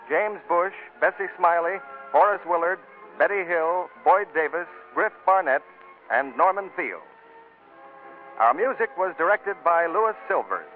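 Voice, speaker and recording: An American man with a high voice makes scripted radio announcements in 1940s style. The recording is dull and band-limited, with music in it.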